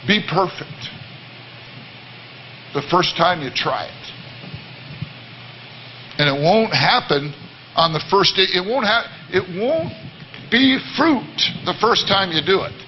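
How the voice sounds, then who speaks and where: A man with a baritone voice giving an earnest, repetitive sermon-style talk to a church congregation. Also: animated, slow pace, pauses often, highs cut off, a little hiss or hum. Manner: earnest, repetitive, many restarts. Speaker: worship leader. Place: church service.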